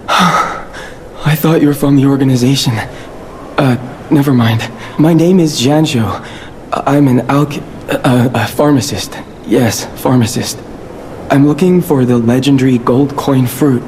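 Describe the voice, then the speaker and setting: A man plays a timid video game pharmacist who rambles nervously and hesitates as he goes. His voice has a middling pitch, with faint ambient noise behind it. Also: a little mellow, close to an everyday voice.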